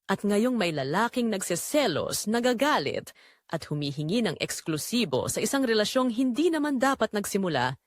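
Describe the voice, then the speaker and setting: A woman acts out a defiant, sensual Filipino housewife narrating her own secret in an audio drama. Her confessional delivery is emotive, with lively swings of pitch around a middle register. The sound is dry, with no room around it. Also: normal speed, no room sound. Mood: conflicted, resolute.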